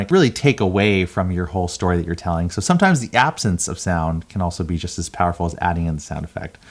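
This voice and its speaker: A man with a fairly low voice hosts a casual vlog. He explains things in an informal, conversational way, like a creator talking straight to his viewers. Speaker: video creator and host.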